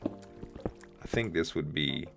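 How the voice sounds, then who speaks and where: A man with a deep voice, a bit rough from the morning, casually thinking aloud while building in a game he hosts. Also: expressive, very slow, a bit bright, faint music behind. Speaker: let's play host. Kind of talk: casual gameplay commentary.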